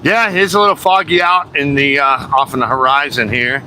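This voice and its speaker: A man streaming live from a truck cab on the highway, chatting along casually with fillers and the odd swear word. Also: fairly even intonation, fairly quick, sharp tone, poor audio. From United States. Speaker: truck driver streaming from the road. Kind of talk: casual livestream chat while driving. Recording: constant road and engine noise.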